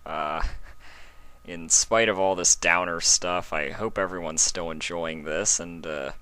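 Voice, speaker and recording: Man, storybook narration, even and low-key, low voice, dry close sound. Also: unhurried, no echo. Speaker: fanfic reader.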